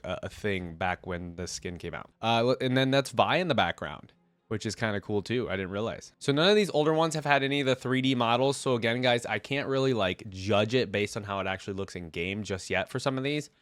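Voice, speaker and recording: Male reaction-video host, low register, congested from a cold, dry sound, casual and slangy, musing as he goes. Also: brisk, fairly bright tone, no room sound. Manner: hesitant, thinking aloud, informal slang.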